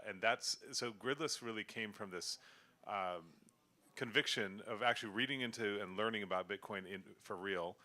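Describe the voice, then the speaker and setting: Bright-voiced middle-aged man telling stories. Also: fairly low pitch. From Sudan and Kenya. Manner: relaxed storytelling with frequent restarts and fillers. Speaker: guest, company founder. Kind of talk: casual pub panel chat. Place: live bar with audience, feedback on the PA.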